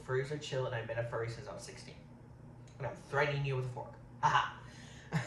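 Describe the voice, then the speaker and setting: A man in his thirties talks casually while eating on camera. He rambles from topic to topic, fills gaps with um, and keeps an everyday middle pitch. He sits back from the mic in an echoey room with a faint hiss. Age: 30s. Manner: rambling, says um a lot. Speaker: vlogger. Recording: roomy, distant, faint hiss.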